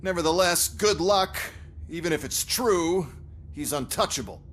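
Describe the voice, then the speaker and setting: A fairly high male voice as an arrogant game boss. He sneers and taunts his listeners with smug, foul-mouthed sarcasm. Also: expressive, a little slow, a bit bright. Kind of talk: video game cutscene dialogue.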